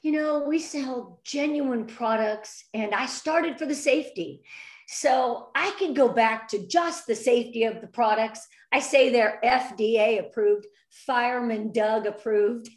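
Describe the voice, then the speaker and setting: A woman's voice: on the high side, reverberant. Effusive earnest woman in her fifties. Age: 50s. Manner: effusive, earnest, nervous energy. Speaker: interview guest. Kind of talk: emotional heartfelt interview.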